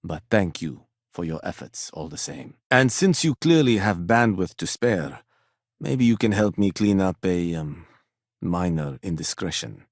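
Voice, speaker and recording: Casting: punctilious corporate office man for a video game. Low register, formal precise diction, dry condescension, close dry sound. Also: dry recording, exaggerated voice. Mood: brisk, impatient.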